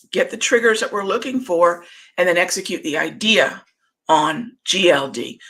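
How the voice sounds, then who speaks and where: A middle-aged woman hosting a live market show, chatting casually and reading out viewer questions in a voice that is going hoarse. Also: on the low side, sharp tone. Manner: conversational, reads viewer comments aloud, many fillers. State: losing her voice, throat clearing. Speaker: trading show host.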